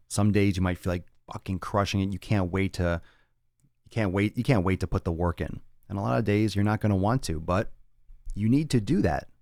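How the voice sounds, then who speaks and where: Fitness podcast host, male, very low voice, blunt and profane, dry close mic. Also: a little mellow, dry recording. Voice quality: raspy on some days. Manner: blunt and profane, rambling with restarts. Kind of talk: casual solo talk answering listener chat.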